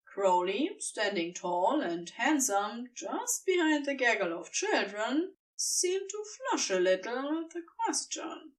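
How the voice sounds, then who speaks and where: A woman's voice: fairly high pitch, sing-song, slow, hardly any pauses, recorded from a distance, poor audio. A woman reading scripted fiction as a storybook narrator.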